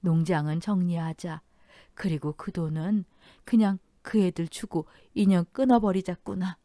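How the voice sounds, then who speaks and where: A woman's voice: on the low side, fairly even intonation, measured pace, mellow, dry recording, clean studio sound, lightly acted. A woman voicing a grieving elderly mother-in-law who speaks in a trembling, breathless voice. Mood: weary sigh. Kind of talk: audio drama storytelling.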